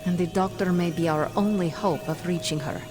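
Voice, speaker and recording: A woman's voice: on the low side, fairly even intonation, a little fast, natural voice. A woman playing a stern, protective warrior in a video game cutscene, speaking bluntly and formally. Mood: candid. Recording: loud game music behind.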